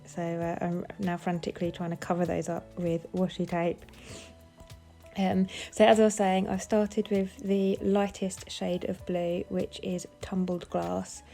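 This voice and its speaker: Bunged-up woman with a gravelly voice. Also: fairly low pitch, measured pace, soft background music. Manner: calmly explains each step as she works. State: has a cold, sounds bunged up. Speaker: papercraft designer. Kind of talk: casual narrated craft tutorial.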